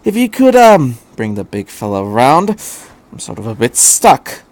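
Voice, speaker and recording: A man voicing an eccentric, flippant, fast-thinking male adventurer in a story reading, chattering breezily with little hesitations. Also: mid-range voice, wildly swooping pitch, a little mellow, dry recording. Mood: breezy, casual. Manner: hesitant filler-laden chatter, breezy understatement.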